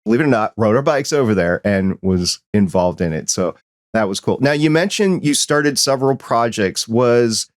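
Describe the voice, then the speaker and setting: A middle-aged man with a Midwestern American accent hosting a podcast, friendly and animated as he tells stories. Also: fairly low pitch, normal speed, no room sound, clean studio sound. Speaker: podcast host. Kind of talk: casual conversation.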